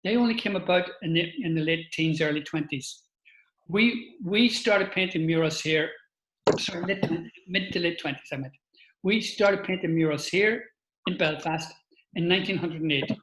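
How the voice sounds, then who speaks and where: A man's voice: on the high side, normal speed, a bit bright, echoey room, a little hiss or hum, rough recording. An older man from Northern Ireland with a Northern Irish accent, giving an informal talk with a slideshow, chatty, with restarts and asides to his listeners.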